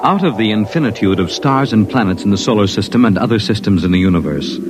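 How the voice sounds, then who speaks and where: A man's voice: on the low side, lively intonation, quick pace. A man announcing in 1950s radio style, dramatic and declamatory. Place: radio studio. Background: music.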